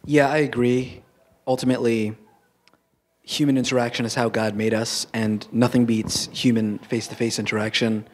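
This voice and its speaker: Young man, frank, measured, reasoning aloud, handheld mic, auditorium stage. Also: medium pitch, flat delivery, a little hiss or hum. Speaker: panelist. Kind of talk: panel discussion.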